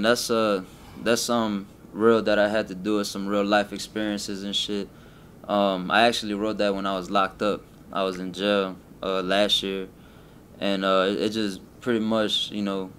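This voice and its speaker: A young man with a Colombian-Spanish accent, from Colombia and raised in Miami, talking about his life as a rapper in a casual interview, laid-back and halting with many restarts. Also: lowish voice, level tone, a bit bright, a little hiss or hum.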